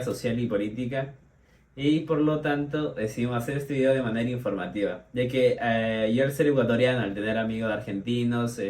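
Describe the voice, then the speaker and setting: A man's voice: medium pitch, a little mellow. A man in his twenties from Ecuador, hosting a podcast and giving an informative explainer with frequent hesitations and fillers. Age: 20s. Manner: hesitant, many fillers and restarts. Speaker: podcast host.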